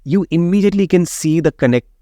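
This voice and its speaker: A man's voice: fairly high pitch, fast talker, mellow, dry recording, studio quality. A radio jockey, explaining his views at length in an interview and often checking in with "right?". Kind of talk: opinionated interview conversation.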